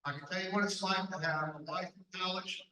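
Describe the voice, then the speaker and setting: Earnest high-voiced man in an echoey hall. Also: fairly even intonation, brisk, sharp tone, slight background noise. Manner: earnest, personal, speaks from his own life. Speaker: county commission candidate. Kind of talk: campaign speech at a candidate forum. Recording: echoey hall, distant mic.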